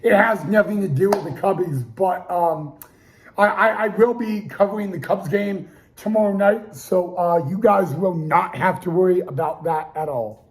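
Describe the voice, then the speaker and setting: A man's voice: sits high, moderate pace, low-quality recording. A young man hosting a casual sports talk show, losing his voice and restarting often with stammered repeats. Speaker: podcast host.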